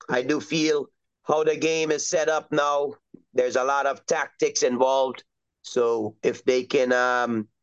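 Smooth-voiced easygoing middle-aged man. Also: medium pitch. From Trinidad and Tobago. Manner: easygoing, rambling with many fillers. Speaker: soccer coach, guest. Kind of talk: casual interview chat. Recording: dull lo-fi recording.

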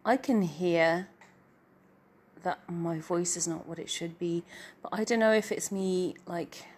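A woman's voice: on the low side, unhurried, darkish tone, a little hiss or hum. A middle-aged woman with a nasal, stuffy-nosed voice gives a rambling, self-deprecating personal update as a writer and story narrator. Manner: meandering and self-deprecating, trailing off into filler.

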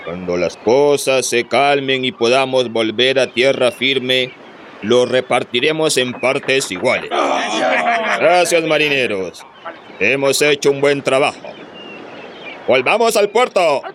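A commanding pirate captain, addresses his crew in a declamatory radio play style. He speaks slowly at a medium pitch. Loud background noise surrounds him. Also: animated. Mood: rousing. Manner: declamatory, authoritative. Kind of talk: theatrical radio drama acting.

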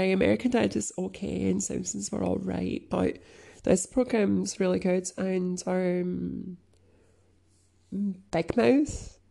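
A woman chatting casually, sniffly from crying, halting with many fillers and frequent throat clearing. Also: mid-range voice, mellow. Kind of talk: casual rambling chat.

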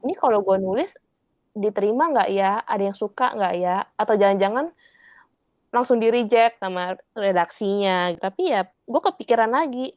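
A young Indonesian woman with talks in a loose, candid way. She laughs often and slips English words into her sentences. Her voice is mid-pitched and sits dry and close on the mic. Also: normal speed, a little mellow, dry recording, dull audio. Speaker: guest. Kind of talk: casual podcast chat.

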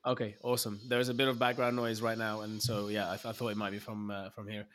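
A man's voice: fairly low pitch, level tone, quick pace, a bit bright, slight background noise. Chatty male podcast host. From Egypt. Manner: chatty, hesitant, with many restarts and fillers. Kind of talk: casual interview chat.

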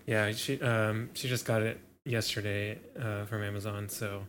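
A man's voice: on the low side, unhurried. Young man, podcast co-host, laid-back chat, filler words, faint hiss behind. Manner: relaxed, laid-back, many fillers and chuckles. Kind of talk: casual chat.

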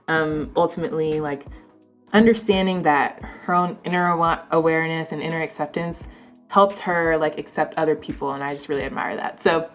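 Female interview host, low voice, informal and halting delivery, light music in the background. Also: steady tone. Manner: informal, halting, frequent "like" asides. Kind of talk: casual conversational hosting.

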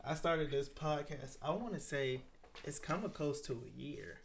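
A man hosting a podcast in casual, animated chat, swearing freely and laughing often. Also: a little high, unhurried, creaky, slight background noise. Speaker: podcast host.

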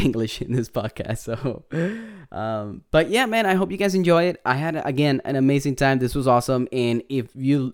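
A man's voice: mid-range voice, clear, resonant tone. A man with a Puerto Rican accent hosting a podcast, rambling casually with swearing, restarts and Spanglish. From Puerto Rico. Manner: rambling, profane, laughs often, restarts, mixes Spanish into English. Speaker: podcast host. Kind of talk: casual podcast intro and chat.

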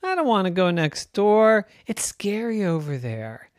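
A woman voicing a scared, excitable little girl who whines, pleads and stammers. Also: medium pitch, a little slow, no room sound, studio quality, pitched above the performer's own voice. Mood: scared, whiny. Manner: whiny, pleading, stammering when frightened.

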